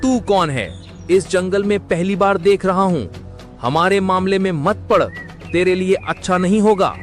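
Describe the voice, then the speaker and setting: A man's voice: high voice, unhurried, faint music behind. A man voicing a fierce, hot-headed cheetah pack leader in a cartoon, with a threatening manner. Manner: threatening, forceful. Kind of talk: animated moral-story character acting.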